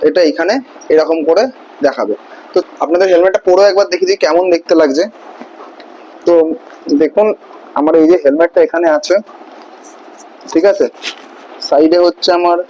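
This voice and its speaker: High-pitched man giving a tutorial. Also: dark tone, highs cut off, a little hiss or hum. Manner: informal, often checks in with the viewer. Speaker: vlogger demonstrating motorcycle gear. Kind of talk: casual hands-on tutorial, step by step.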